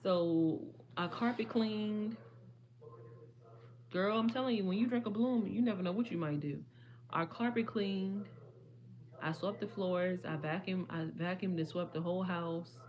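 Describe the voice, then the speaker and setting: A woman, her voice slightly hoarse, chatting casually and rambling to viewers on a livestream. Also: mid-range voice, unhurried, darkish tone. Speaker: vlogger.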